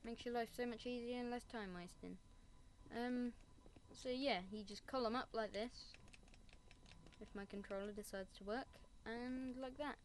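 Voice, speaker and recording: A woman's voice: on the high side, steady tone, moderate pace, a little hiss or hum. A woman giving a casual Minecraft building tutorial, rambling and making self-mocking asides. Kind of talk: casual gameplay tutorial commentary.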